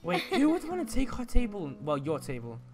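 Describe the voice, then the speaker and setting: A man improvising a casual Minecraft roleplay, excitable and often repeating words in stammered bursts. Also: very high-pitched, a little fast, gravelly, music in the background. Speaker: roleplay player.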